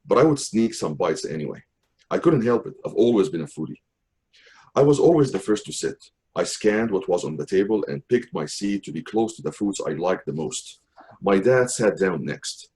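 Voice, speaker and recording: Middle-aged man, slightly low voice, reads his own essay with care. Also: expressive, darkish tone. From Syria. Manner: measured, careful reading with occasional restarts. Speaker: author reading his essay. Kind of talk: reading a personal memoir aloud.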